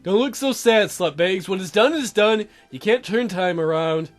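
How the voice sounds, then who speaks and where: An awkward teenage boy from a dating sim, as a man reads him, talks in a high, hesitant voice. He is offhand and mildly reproachful, with faint music underneath. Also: animated, moderate pace. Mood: gently reproachful. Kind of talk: dating sim dialogue read aloud.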